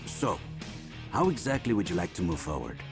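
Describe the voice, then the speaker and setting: Deep, calculating male crime lord. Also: big pitch swings, vocal fry, over music, heavily acted. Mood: businesslike. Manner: formal, courteous, deliberate.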